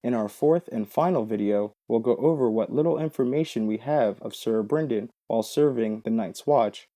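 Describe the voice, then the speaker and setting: Male video host, middle pitch, dark soft-edged tone, scripted explainer delivery. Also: moderate pace.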